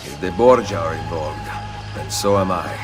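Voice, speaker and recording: A man's voice: sits low, moderate pace, over music, close to an everyday voice. Man playing a commanding Italian assassin nobleman. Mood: guarded, resolute. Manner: curt, commanding. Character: stern, authoritative Italian assassin nobleman in Renaissance Rome. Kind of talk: video game voice acting.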